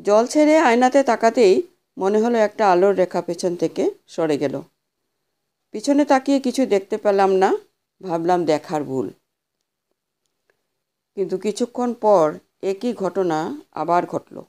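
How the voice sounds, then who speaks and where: A woman narrating a scripted horror story as an audio storyteller, with a measured, dramatic delivery. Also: mid-range voice, expressive, dark tone.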